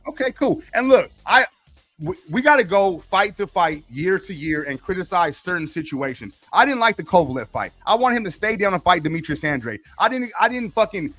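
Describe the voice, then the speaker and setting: A man's voice: sits high, expressive, brisk, fairly bright tone, no echo, faint music behind. A man in his forties arguing boxing in a heated, profane debate, cutting in and repeating himself. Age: 40s. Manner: profane, combative, keeps repeating a name to cut in.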